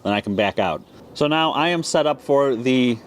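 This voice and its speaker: A man's voice: mid-range voice, expressive, moderate pace. A man giving a casual, plain-spoken, step-by-step product tutorial as a video host. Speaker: ham radio video host. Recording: clear background noise.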